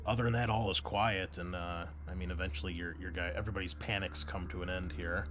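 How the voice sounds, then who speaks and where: A man's voice: low voice, steady tone, fast, background noise. A man with an American accent working as a game master, describing scenes in a conversational way and chatting casually with players. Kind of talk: improvised tabletop game narration with casual table talk.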